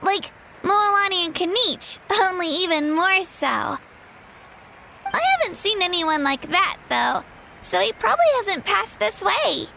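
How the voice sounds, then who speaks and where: A woman's voice: extremely high pitch, expressive, measured pace, creaky, bright, forceful tone, slight background noise, heavily acted, poor audio. A woman voicing a young, plucky but modest girl warrior in a video game, sweet and earnest. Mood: thoughtful, helpful. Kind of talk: animated video game voice acting.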